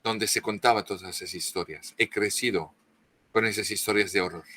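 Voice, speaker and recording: A man's voice: fairly low pitch, steady tone, pushed and bright. A middle-aged man from France with a French accent, speaking as a guest author and lecturing at length with frequent restarts and fillers. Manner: halting, many restarts and fillers. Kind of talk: interview, lecturing.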